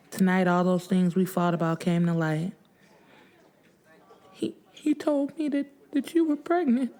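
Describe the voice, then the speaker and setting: A woman's voice: fairly low pitch, dark tone. Sorrowful woman narrating an audiobook. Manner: emotive, sorrowful storytelling. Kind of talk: dramatic first-person fiction narration.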